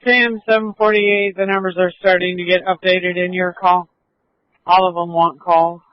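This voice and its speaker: A man's voice: high-pitched, level tone, normal speed, no echo. A man working as a police dispatcher, giving procedural updates over a police radio. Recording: dull lo-fi police radio audio.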